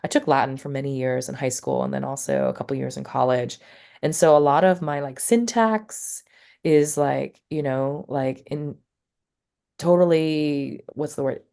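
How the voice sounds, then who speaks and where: A woman's voice: very deep voice, fairly even intonation, normal speed, gravelly, mellow. A woman poet and teacher talking casually in an interview, rambling and full of fillers like "like" and "you know.".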